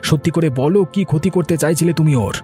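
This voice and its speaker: A man's voice: on the high side, a little fast, mellow, soft background music, close to an everyday voice. A man voicing a stern, suspicious villager in a cartoon, confrontational and accusing. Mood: suspicious, challenging. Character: stern, suspicious villager standing guard for others. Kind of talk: cartoon character dialogue.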